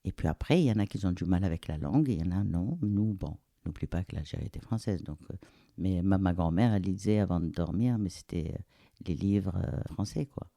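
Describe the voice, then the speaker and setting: A middle-aged woman from Algeria, speaking reflectively and pausing to search for words in a casual interview. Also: a bass voice, lively intonation, gravelly, warm tone, no echo, clean studio sound. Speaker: interviewee, the host's mother.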